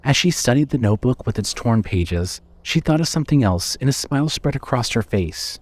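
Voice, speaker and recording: A man's voice: mid-range voice, lively intonation, brisk, no echo. A man narrating a scripted horror story as the narrator of an audio drama.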